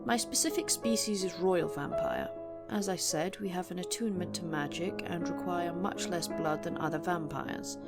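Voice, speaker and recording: Haughty, low-voiced aristocratic vampire lady, played by a woman. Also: natural voice. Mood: proud, instructive. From England. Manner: formal, haughty, measured. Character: aristocratic centuries-old female vampire, imperious, young-looking. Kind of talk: audio roleplay monologue to the listener. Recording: music under the voice. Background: plucked guitar music.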